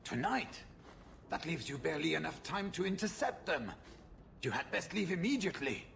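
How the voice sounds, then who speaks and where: A haughty, gravelly-voiced merchant. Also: male voice, a little high, very animated, normal speed, a bit bright, a little hiss or hum, exaggerated voice. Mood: curt, businesslike. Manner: pompous, contemptuous, formal phrasing. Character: haughty, hot-tempered male merchant, foul-mouthed and ruthless. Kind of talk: video game cutscene acting.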